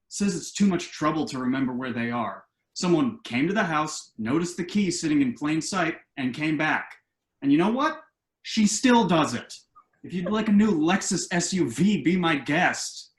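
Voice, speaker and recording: An actor playing an earnest, anxious adult son in a conversational comedy play reading, explaining things in an echoey room. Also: male voice, on the high side, animated, moderate pace, lightly acted. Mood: wry, exasperated. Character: earnest adult son, anxious and quirky about house rules.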